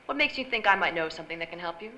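Casting: a rich, poised femme fatale, guarded and quick-spoken, low-ish pitch, radio noir. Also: female voice, lively intonation, pushed and bright. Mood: cool, guarded. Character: sultry, guarded wealthy married woman, femme fatale. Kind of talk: old-time radio noir drama acting. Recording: live stage recording with faint noise.

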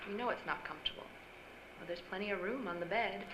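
An actress playing a seductive 1940s radio drama femme fatale who pleads coyly as if helpless. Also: female voice, medium pitch, quick pace, fairly bright tone, close to an everyday voice. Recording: roomy live stage, faint noise.

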